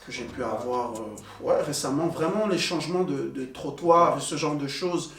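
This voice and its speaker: Distant, echoey young man, hesitant. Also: mid-range voice. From Belgium. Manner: hesitant, many pauses and fillers. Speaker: panel guest. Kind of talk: casual conversation. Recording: echoey room, distant mic, soft music.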